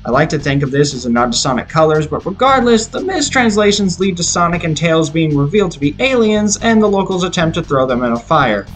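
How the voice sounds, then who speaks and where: A man's voice: fairly high pitch, big pitch swings, fairly quick, hardly any pauses. A man reading a scripted film review in an opinionated, explanatory way. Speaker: video reviewer. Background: background music.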